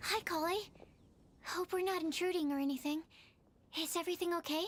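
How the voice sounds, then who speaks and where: A woman's voice: very high-pitched, fairly even intonation, brisk. A woman playing a polite, timid, bookish young scholar in a video game, speaking in an earnest and careful way. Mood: friendly. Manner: earnest, careful, hesitant, explanatory. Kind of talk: scripted video game dialogue. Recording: music behind the voice.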